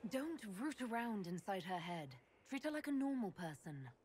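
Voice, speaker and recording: A woman's voice: mid-range voice, big pitch swings, darkish tone, a little hiss or hum, lightly acted. Woman as a composed, direct fantasy leader. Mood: firm. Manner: measured, direct. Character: composed, level-headed female leader of a fantasy order. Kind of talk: dramatic video game voice acting.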